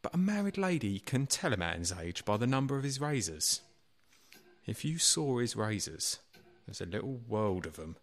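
A man acts out a servile butler in a radio play. He is nervous and hesitant, stumbling over his words, and his pitch swings widely as he defers to his betters. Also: big pitch swings, normal speed. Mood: confiding.

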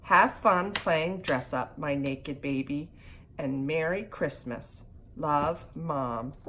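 A woman's voice: deep voice, takes it slowly, a bit bright, low-quality recording. A middle-aged woman reading a children's picture book aloud with casual asides. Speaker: read-aloud reader for children. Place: living room by a fireplace. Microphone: phone propped in front of her. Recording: home phone recording with background noise.

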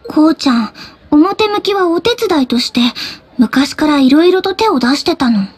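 A very high, sweet schoolgirl voice from an anime game. She is gentle and explains things softly, like a kind childhood friend. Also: lively intonation, normal speed. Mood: gentle, reassuring. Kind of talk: Japanese anime-style game acting.

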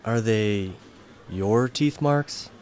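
A man's voice: on the low side, very slow, a little mellow. A man playing a polite, puzzled antiques appraiser in a comedy sketch.

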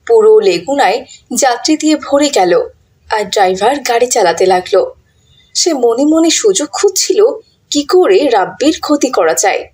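A woman's voice: fairly high pitch, a little mellow, slightly rough. A woman giving scripted narration for a children's moral cartoon. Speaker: story narrator.

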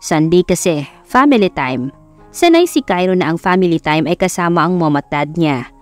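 A woman's voice: fairly low pitch, animated, normal speed. A woman with a Filipino accent reading a scripted romance as a dramatic audiobook narrator. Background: background music.

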